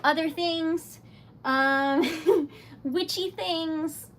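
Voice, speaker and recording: A woman's voice: very high voice, extremely slow, noisy background. A woman with a Rhode Island accent hosting a casual craft livestream, chatting to her viewers, laughing often and rambling as she goes. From New England. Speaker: livestream host. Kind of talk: casual chat while crafting.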